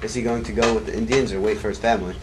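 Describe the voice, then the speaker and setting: A man narrating a story in a quick, plain reading style. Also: on the low side, fairly even intonation. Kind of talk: student narration read aloud. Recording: noisy amateur recording.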